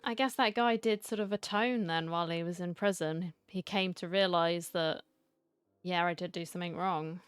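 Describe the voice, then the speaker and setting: Smooth, lowish-voiced woman reacting to a game. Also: fairly even intonation, moderate pace, dry recording. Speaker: reaction streamer. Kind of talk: casual live game reaction. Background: faint game music.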